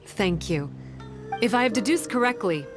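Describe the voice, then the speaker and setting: A woman playing a calm, analytical guide in a video game, speaking in a measured, formal way. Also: on the high side, music in the background, lightly acted. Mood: grave, measured. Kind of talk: scripted game cutscene dialogue.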